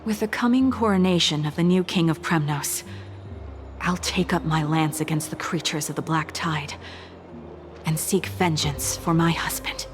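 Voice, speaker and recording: An actress playing a resolute, humble widowed warrior in a game, with an earnest, stoic delivery. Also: female voice, low voice, normal speed, faint music behind, natural voice. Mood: solemn, resolute. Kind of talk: dramatic game voice acting.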